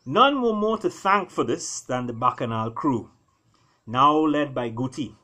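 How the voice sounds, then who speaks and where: A man tells an animal fable as an expressive storyteller, reading his own writing. His pitch sits in the middle for a male voice, and he shapes phrases deliberately, pausing for dramatic weight. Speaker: author reading own work.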